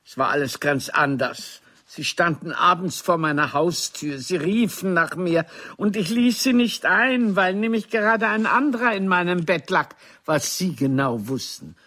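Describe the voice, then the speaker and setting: A haughty, mocking woman of the stage in a radio play. Her speech is formal and cutting, with theatrical delivery that swings widely in pitch as she scorns a former lover. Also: expressive, unhurried, a bit bright. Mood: scornful, cutting. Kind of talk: radio drama dialogue.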